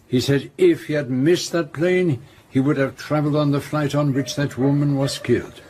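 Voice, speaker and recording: A man playing a shrewd, courteous in a radio drama, speaking formal, precise in a measured way. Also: a little high, fairly even intonation, a little mellow, slight background noise, natural voice. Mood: probing, stern. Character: shrewd, courteous male detective, calmly probing.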